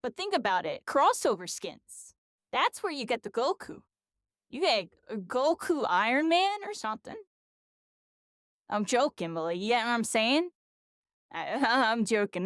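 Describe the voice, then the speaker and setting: A woman's voice: fairly high pitch, sing-song, moderate pace, fairly bright tone, no echo, clean studio sound. A tired woman streamer chatting casually and rambling, her voice worn out after a long session. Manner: rambling, full of "like" and "you know". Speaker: game streamer. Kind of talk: casual live-stream chat.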